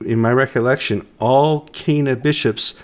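A middle-aged man hosts a casual news chat from home over a video call. He jokes and keeps things moving, and his voice runs deep while he gets over a cold. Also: moderate pace. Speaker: host.

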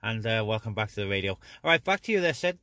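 A man's voice: on the low side, very animated, fast talker. Male CB operator, casual and chummy radio chat, noisy in-car sound. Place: inside a parked car on open moorland. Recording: noisy in-car recording with clicks.

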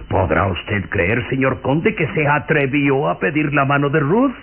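Pompous old aristocrat for radio drama, gravelly, animated, disdainful, on a muffled old recording. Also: male voice, medium pitch, unhurried, a little hiss or hum. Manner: pompous, scornful. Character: haughty, snobbish old aristocrat father. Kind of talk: Mexican radio drama acting.

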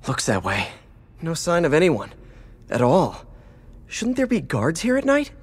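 An actor playing a young wisecracking superhero in a video game, earnest and curious, with a quippy, conversational delivery. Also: male voice, on the high side, wildly swooping pitch, a little slow, breathy edge, heavily acted. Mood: alert, curious. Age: young adult. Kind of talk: naturalistic video game acting.

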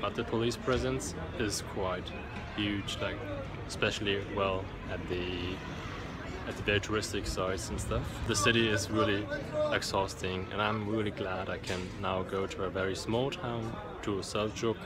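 A man's voice: fairly low pitch, normal speed, loud music behind the voice. Young man vlogging on a phone. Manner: relaxed, rambling, with hesitant restarts. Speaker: travel vlogger. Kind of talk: casual vlog talk to camera. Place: large busy bus station, indoors. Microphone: phone held at arm's length.

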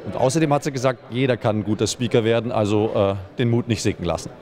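A man's voice: medium pitch, fast, darkish tone. A middle-aged man, a native German speaker, working as a reporter doing on-site event interviews. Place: busy hotel convention lobby. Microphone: handheld mic with furry windscreen. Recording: busy crowd chatter around him.

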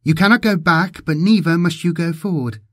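A man's voice: fairly high pitch, moderate pace, a bit bright, no room sound, studio quality, pitched above the performer's own voice. A man voicing an eerie, sad female mutant who speaks in cryptic prophecy, as an audiobook character. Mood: ominous. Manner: cryptic, portentous.

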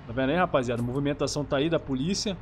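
A man's voice: mid-range voice, very fast, a little hiss or hum. A Brazilian man giving casual, enthusiastic gameplay commentary full of slang, speaking into a gaming headset. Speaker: streamer, host of the channel.

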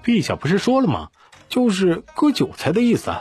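A man's voice: high voice, wildly swooping pitch, brisk, a little mellow, breathy edge, soft background music, pitched above the performer's own voice, full character voice. A man voicing a naive, blunt young prince in an audiobook, earnest and exclamatory.

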